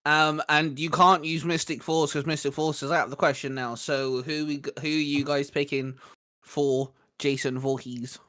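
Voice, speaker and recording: Host, male, slightly high voice, relaxed, keeps the list moving. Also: normal speed. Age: adult. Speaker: host leading the discussion. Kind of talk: casual fan discussion.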